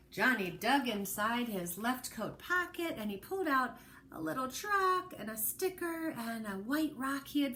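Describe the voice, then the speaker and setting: A woman with a fairly high voice reads a picture book as an early learning teacher. She goes slowly and expressively, stopping to explain or ask questions, over noticeable background noise. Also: fairly bright tone. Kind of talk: children's storybook read-aloud.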